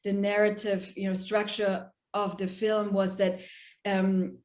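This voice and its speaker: A woman documentary filmmaker who grew up in Germany, fielding questions at a festival Q&A. Her voice sits at a middle pitch, and she circles her points with fillers and restarts. The sound has a roomy echo around it. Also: measured pace. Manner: many fillers and restarts, animated. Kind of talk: festival Q&A interview.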